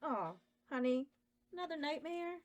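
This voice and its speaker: A man voicing a doting female doll in a breathy, cooing falsetto. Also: lively intonation, moderate pace.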